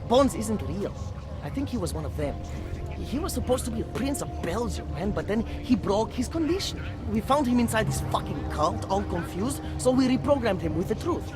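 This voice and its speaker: An actor playing a paranoid, defiant underground journalist in a video game, a fervent idealist who rambles and stammers in slangy conspiracy rants. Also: male voice, sits high, sing-song, normal speed, over music. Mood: earnest, conspiratorial.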